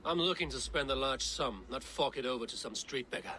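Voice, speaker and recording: A man's voice: mid-range voice, a little fast, close to an everyday voice. A man playing a bold warrior posing as a buyer in a video game, confident and blunt. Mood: businesslike. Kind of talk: video game character acting.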